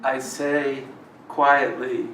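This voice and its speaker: A man giving an expressive live poetry reading, phrasing each line deliberately and dramatically. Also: medium pitch. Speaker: poet reading own work. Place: live reading in a roomy indoor venue. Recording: roomy live recording, distant mic, noisy.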